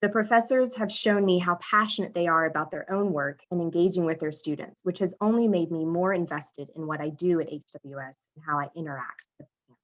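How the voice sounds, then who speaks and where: A woman's voice: lowish voice, brisk. A woman presenting a ceremony, steadily reading student introductions over a video call. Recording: dull video call audio.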